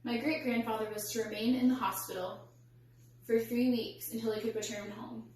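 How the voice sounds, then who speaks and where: The recording is very echoey and picked up from a distance. A young woman with a fairly high voice gives a rehearsed speech that tells a story. Her delivery is measured and formal, with careful wording. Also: steady tone, darkish tone. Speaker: student public speaker. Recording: echoey room, distant mic, faint hum.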